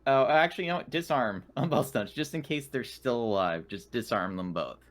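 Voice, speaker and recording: A man's voice: medium pitch, expressive, fairly quick. A man chatting casually as a player at a tabletop roleplaying game, talking through his moves.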